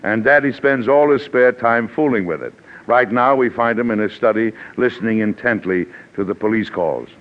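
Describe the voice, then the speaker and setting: A man's voice: medium pitch, normal speed, lo-fi sound. A male announcer giving a formal scripted radio introduction. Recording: old radio broadcast with loud crowd and hiss.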